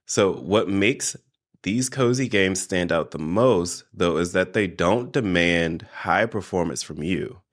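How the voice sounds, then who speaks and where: A man with a fairly low voice hosts a relaxed solo podcast. He sounds stuffed up and a little nasal from allergies, and he keeps talking straight to his audience in a chatty way. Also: slow. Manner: animated, chatty, addresses listeners directly. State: congested from allergies. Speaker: podcast host. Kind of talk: casual solo podcast chat.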